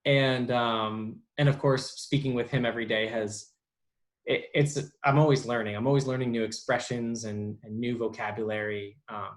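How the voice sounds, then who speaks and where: A young adult man as an interview guest, talking casually with many pauses and restarts. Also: mid-range voice, steady tone. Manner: halting, lots of ums and restarts. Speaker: interview guest, bilingual speech therapist.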